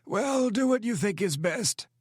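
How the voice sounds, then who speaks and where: A frail old spy chief in his eighties, voiced by a man, pitched well above the narrator's usual deep tone. He speaks in a formal, measured and deferential way, with a thin, oddly different timbre. The sound is dry and close. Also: animated, husky, dry recording, heavily acted. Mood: earnest, advising. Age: 80s. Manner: formal and measured, keeps saying sir.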